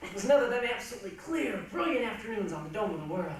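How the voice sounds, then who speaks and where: A woman recites in a solemn readers' theatre style with a medium-pitched voice. She is far from the mic, very echoey, with clear noise around her. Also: very animated, very fast. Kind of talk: solemn recited stage reading. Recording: noisy echoey hall, distant mic.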